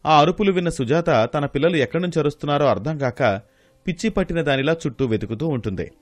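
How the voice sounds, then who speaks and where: A man's voice: fairly high pitch, lively intonation, fast talker, no echo. A man reading scripted narration for a moral story.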